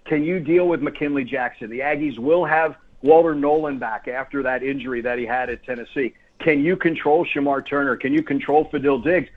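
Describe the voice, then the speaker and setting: A man's voice: medium pitch, fairly quick, hardly any pauses, phone-quality sound. A man who works as a play-by-play announcer, talking conversationally and emphatically as a guest on sports radio, repeating phrases for stress. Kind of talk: sports talk radio interview.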